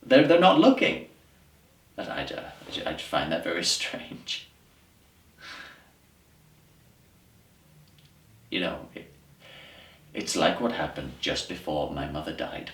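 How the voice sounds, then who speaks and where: An actor playing an upset, disillusioned theatre man in a stage monologue, musing haltingly and emphatically, with repeated words. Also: very deep voice, wildly swooping pitch, fairly bright tone, heavily acted. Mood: agitated, bemused, then sombre, strained. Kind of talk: dramatic audition monologue, conversational stage acting. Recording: roomy echo, distant microphone.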